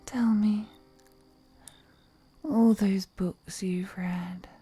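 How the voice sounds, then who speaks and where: An ancient vampire seductress, voiced by a woman, whispers slowly in a breathy, mellow voice. She is flirty and possessive, teasing and purring endearments as in an intimate roleplay audio drama, with music behind her. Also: mid-range voice, steady tone, heavily acted.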